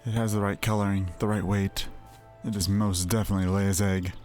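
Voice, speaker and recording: A formal, courteous stable master from a fantasy game, as a man voices him. His speech is courtly and low-pitched, carrying a steady worried earnestness. Soft game music plays underneath. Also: a little fast, natural voice. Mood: earnest.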